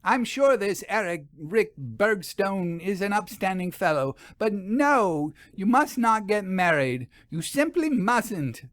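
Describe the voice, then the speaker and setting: Guy doing a bossy flustered lady investigator in an audiobook, pitched up, emphatic, really swoopy. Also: sing-song, slow, few pauses, fairly bright tone, no room sound, studio quality, exaggerated voice. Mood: agitated, pleading. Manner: emphatic, scolding. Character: bossy, excitable woman ghost investigator, blunt and flustered.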